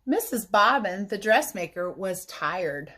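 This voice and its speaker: A woman reading a story aloud as a teacher, pausing to explain things simply to young listeners. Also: mid-range voice, animated, fairly bright tone, slight background noise. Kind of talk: read-aloud storytelling with explanatory asides for children.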